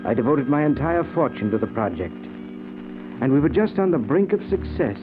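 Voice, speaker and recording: A man's voice: mid-range voice, very animated, moderate pace, narrow, tinny audio, over music, lightly acted. An actor playing an obsessed, nervously intense middle-aged man, speaking earnestly and dramatically in a 1940s style radio drama. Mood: haunted, intense. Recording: thin old radio recording.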